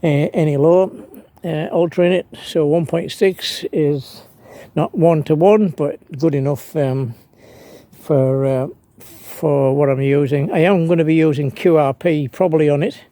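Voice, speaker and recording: A man's voice: a little high, fairly even intonation, measured pace, mellow, dry recording. A man narrating an unscripted hands-on demonstration as an amateur radio hobbyist, hesitant and thinking aloud as he works. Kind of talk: unscripted how-to vlog narration.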